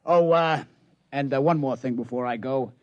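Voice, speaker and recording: A man's voice: mid-range voice, normal speed, dry recording, natural voice. An actor playing a brash, wisecracking male casino boss in a 1950s radio drama. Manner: brash, wisecracking, laughs a lot. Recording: dull old radio broadcast.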